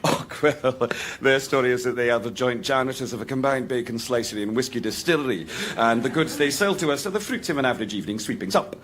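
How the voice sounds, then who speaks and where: An actor playing a jovial, dandyish young Scottish secretary with a slyly sinister side, theatrical and insistent, in a radio comedy sketch. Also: male voice, medium pitch, quick pace, few pauses, a bit bright, close to an everyday voice. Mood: confiding.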